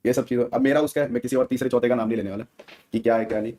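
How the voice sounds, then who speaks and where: A man's voice: medium pitch, steady tone, very fast, mellow. A man speaking as a gaming streamer in a casual, slangy rant to viewers, often seeking their agreement. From India.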